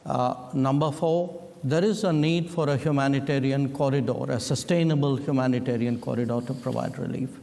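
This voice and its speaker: A man speaking on a panel in a deliberate, halting diplomatic manner. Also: medium pitch, fairly even intonation, creaky, mellow. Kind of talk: diplomatic policy statement. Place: large conference hall. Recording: long hall reverb.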